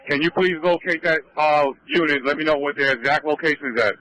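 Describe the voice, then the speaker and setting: Fast, bright-voiced man on police radio. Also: fairly high pitch, fairly even intonation, rough recording. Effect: two-way radio. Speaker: police officer on scene, coordinating units. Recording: police scanner radio transmission.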